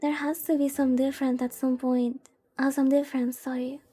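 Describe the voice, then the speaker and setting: A woman's voice: high voice, flat delivery, normal speed, mellow, husky. A woman streamer chatting casually while playing a visual novel, rambling and playfully thinking aloud over game music. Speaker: VTuber streamer.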